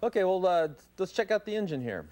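A man's voice: high-pitched, very animated, brisk, a little mellow. A middle-aged American man hosting a show with a casual on-location interview style in an open garage. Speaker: TV show host. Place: open home garage and driveway. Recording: clearly noisy outdoor location sound.

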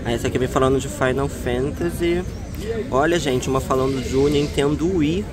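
A man vlogging casually from a busy outdoor flea market, excited and chatty, showing collectibles. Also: mid-range voice, moderate pace. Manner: excited, chatty, often says "né" and "ó". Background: loud crowd.

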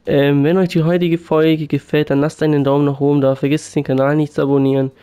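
A man who is sick with a sore throat commentates his video game football match in a subdued way. His voice is smooth and dark, and he holds it to a narrow, flat pitch. Faint game music sits behind him. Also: fast. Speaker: gaming YouTuber. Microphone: USB condenser mic.

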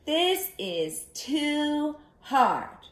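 A woman voicing a picky little storybook girl with drawn-out, exaggerated delivery, in an echoey room. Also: sits high, sing-song, pitched above the performer's own voice, heavily acted. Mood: fussy.

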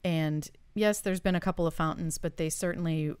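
Middle-aged woman gushing about old memories, smooth voice a bit low, dry close mic. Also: fairly even intonation, normal speed, darkish tone, no room sound. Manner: chatty, enthusiastic, nostalgic reminiscing. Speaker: podcast co-host. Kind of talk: casual chat. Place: home studio room with shelves of collectibles. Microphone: studio mic on boom arm.

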